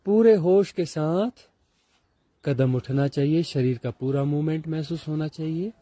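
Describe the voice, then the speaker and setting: A man with an Indian accent teaching a guided meditation, speaking deliberately in short repeated phrases with pauses. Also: a little high, mellow, no room sound. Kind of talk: guided walking meditation instruction.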